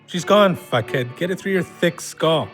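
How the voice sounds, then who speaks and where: A man's voice: on the high side, expressive, measured pace, fairly bright tone, soft background music. A man playing a cynical, foul-mouthed drinker, blunt and mocking, in a naturalistic audio drama. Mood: irritated. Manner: sarcastic, blunt, gruffly dismissive.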